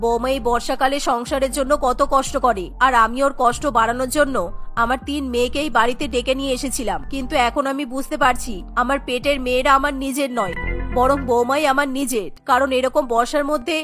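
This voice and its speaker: A woman's voice: high voice, steady tone, fast, fairly bright tone, soft background music, pitched below the performer's own voice. A woman voicing a regretful older mother-in-law in a cartoon story, plaintive and self-reproaching. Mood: regretful, hurt.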